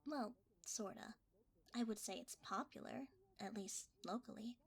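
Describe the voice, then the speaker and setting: A woman playing a flustered, winded delivery worker in a hesitant, breathy ASMR roleplay. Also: medium pitch, measured pace. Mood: chatty, evasive. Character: flustered, winded young blanket delivery worker, chatty and shifty.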